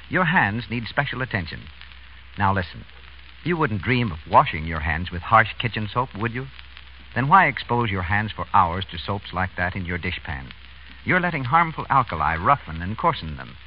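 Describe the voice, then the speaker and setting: An American man announcing and pitching a sponsor's product in scripted old-time radio style. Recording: muffled, hissy old radio broadcast.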